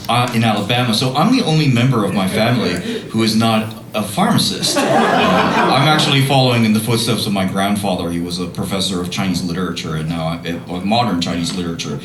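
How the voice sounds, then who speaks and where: A man moderating a live panel, his somewhat low voice picked up from a distance in a reverberant room. He talks in a halting way, full of small fillers. Light background noise runs underneath. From New Orleans. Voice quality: hesitant, many uh fillers. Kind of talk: panel conversation. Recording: echoey hall, mic from a distance.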